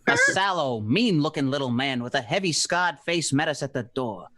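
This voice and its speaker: A man's voice: on the high side, wildly swooping pitch, moderate pace, bright, forceful tone, exaggerated voice. A man playing a cynical, terse, wisecracking private detective in a noir radio play. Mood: wry narrating. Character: hard-boiled cynical male private detective.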